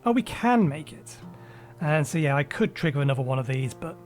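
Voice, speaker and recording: A man hosting a let's-play, talking through his game in a rambling, casual way with lots of ums, his voice a little raspy from a recent cold. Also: a little high, animated, a little fast, faint music behind. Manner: rambling, self-correcting with frequent ums. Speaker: gaming channel host.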